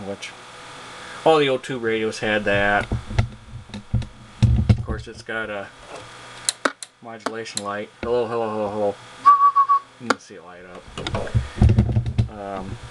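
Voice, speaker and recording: A man casually showing off a piece of his collection on camera, speaking in a halting, plainspoken way. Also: on the low side. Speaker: hobbyist video presenter, radio collector. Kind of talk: casual product show-and-tell, unscripted. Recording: loud steady hum and hiss.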